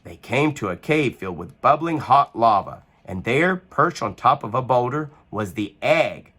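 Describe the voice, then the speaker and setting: A man reading a children's picture book aloud with expressive, animated storytelling. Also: mid-range voice, a little slow, slightly rough.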